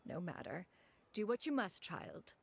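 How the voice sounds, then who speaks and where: A woman's voice: mid-range voice, expressive, a little slow, gravelly, slight background noise. An actress playing an elegant, proud female crane spirit in a video game, speaking in archaic, formal, grand phrases. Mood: composed.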